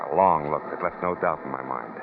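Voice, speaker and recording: Deep-voiced hard-boiled private eye, old radio. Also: male voice, fairly quick, background noise. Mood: tense narration. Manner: curt, wisecracking. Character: tough, sardonic hard-boiled male private detective. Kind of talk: 1940s radio drama acting. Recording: muffled vintage radio broadcast, music.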